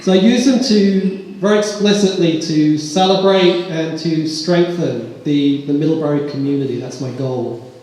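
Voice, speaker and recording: A police officer giving a live pitch talk on stage, joking at his own expense with the audience. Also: normal speed, a little mellow. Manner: self-deprecating, joking with the audience. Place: large echoey hall. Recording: echoey hall, roomy reverb.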